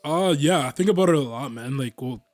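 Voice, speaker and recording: Rambling young man, mid-pitched voice. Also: animated, brisk, no echo. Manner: rambling, filler-heavy, says bro a lot. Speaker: podcast co-host. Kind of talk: casual chat.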